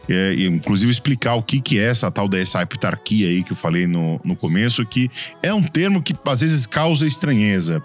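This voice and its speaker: A man with a São Paulo accent hosting a history podcast in a friendly, chatty way, often restarting his phrases. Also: medium pitch. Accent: São Paulo Portuguese. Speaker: podcast host. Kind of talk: casual podcast chat.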